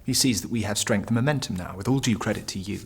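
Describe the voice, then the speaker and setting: A man playing a hesitant, peace-seeking French king in an audio drama, with gently formal, indulgent speech. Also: on the low side, quick pace, slight background noise, lightly acted. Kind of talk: historical audio drama acting.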